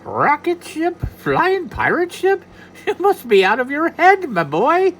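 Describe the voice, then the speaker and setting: A storyteller voicing a small, angry male leprechaun who is touchy and proud, speaking slowly and indignantly. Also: high voice, wildly swooping pitch, fairly bright tone, voice pushed up, full character voice. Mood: scoffing. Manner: indignant, slow and deliberate.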